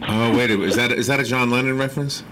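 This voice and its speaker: A man hosting a casual radio chat in an animated way, exclaiming and asking lots of questions. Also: mid-range voice, racing, faint music behind. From northern United States. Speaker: radio host. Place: radio studio.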